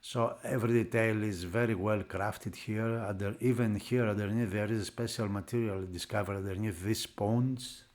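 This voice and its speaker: A man who is not a native English speaker, narrating a product unboxing off the cuff in halting phrases. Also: on the low side, steady tone, a little mellow. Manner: halting, piecing phrases together. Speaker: product reviewer.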